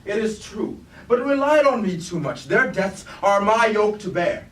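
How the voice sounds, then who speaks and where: A man's voice: high-pitched, big pitch swings, measured pace, a bit bright, heavily acted. A man playing a guilt-ridden robot war leader in a staged tragedy, speaking in solemn, formal, archaic declamation. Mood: remorseful. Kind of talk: staged reading of mock Greek tragedy. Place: indoor performance space, recorded from afar. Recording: echoey stage room, distant mic, faint noise.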